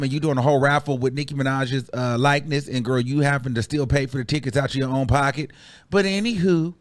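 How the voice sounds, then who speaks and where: A man with a somewhat high voice runs a live gossip stream in a sassy, chatty way. He talks to his viewers as girl and child. His talk rambles with plenty of ums and restarts. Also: no echo. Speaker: host of a celebrity gossip show.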